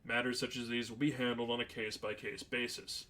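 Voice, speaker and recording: Man as a sardonic, bright-voiced demon hunter. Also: mid-range voice, brisk, natural voice. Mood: matter-of-fact. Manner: matter-of-fact, deadpan, wordy and flippant. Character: sardonic, unflappable veteran male demon hunter. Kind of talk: dramatized fan fiction reading.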